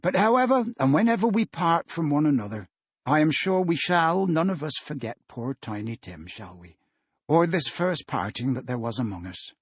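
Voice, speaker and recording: A man voicing a tender, grieving father in an earnest, gentle storybook reading. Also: on the high side, sing-song, moderate pace, slightly rough, no room sound, studio quality. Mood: fond, earnest.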